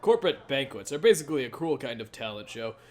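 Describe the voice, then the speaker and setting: A man's voice: medium pitch, very animated, quick pace, darkish tone. A man reading visual novel dialogue aloud in a casual way, often stumbling and repeating phrases as he goes. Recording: music behind the voice.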